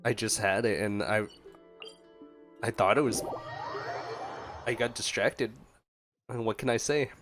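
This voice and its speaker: A man's voice: lowish voice, a little slow, pauses often. A man giving casual, chatty, playful reaction commentary as a gaming streamer. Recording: game music behind the voice. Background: game music.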